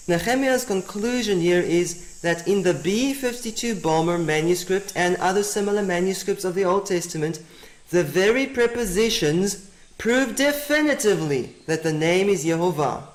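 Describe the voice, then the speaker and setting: High-voiced man, Afrikaans native, roomy echo. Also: animated, normal speed. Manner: methodical, repeats key points. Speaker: presenter. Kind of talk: teaching presentation.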